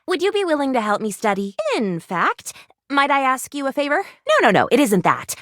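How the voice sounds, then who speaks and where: An earnest little first aid kit doctor from a video game, with a high female voice that swoops up and down. She is dramatic and anxious, rambles in fussy formal phrases, and sounds close and dry. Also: big pitch swings, a little fast, a bit bright, no room sound. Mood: nervous, hopeful. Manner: theatrical, rambling, fussily formal. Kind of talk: animated video game character voice acting.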